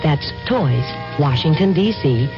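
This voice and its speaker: A man's voice: on the high side, animated, slow pace. A man reading a scripted public service announcement for radio. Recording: dull vintage broadcast, music behind.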